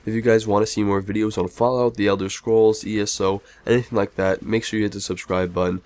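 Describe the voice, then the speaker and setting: A man's voice: fairly low pitch, level tone, fast talker, a little mellow, no room sound. A man hosting a gaming video in a casual narration, sounding a little sick. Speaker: video host.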